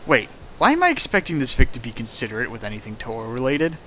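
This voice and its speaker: A young man reading a fan fiction aloud and riffing on it, with big comic swings of pitch. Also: quick pace, background noise. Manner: animated, jumps in pitch for jokes and sound effects. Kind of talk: comedic dramatic reading with riffing.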